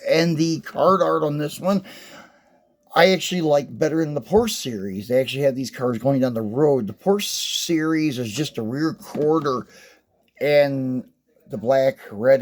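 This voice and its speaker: Casting: male host, folksy rambling collector chat, a bit hoarse, mid-pitched. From West Virginia. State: slightly hoarse from a cold. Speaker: die-cast car collector and channel host. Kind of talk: casual hands-on product review.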